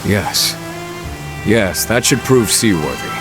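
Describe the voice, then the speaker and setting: A grave, learned man of fantasy games, with a very low voice. His diction is formal and measured, every phrase deliberate and self-possessed. Music plays clearly behind him. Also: expressive. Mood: intent, assured. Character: grim, brilliant adult male scholar escaping prison. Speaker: fantasy game character. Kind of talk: dramatic video game voice acting.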